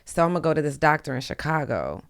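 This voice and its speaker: Low, very creaky woman chatting. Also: very animated, fast talker, no echo, clean studio sound. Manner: blunt, slangy, frank. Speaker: podcast guest. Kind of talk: casual chat.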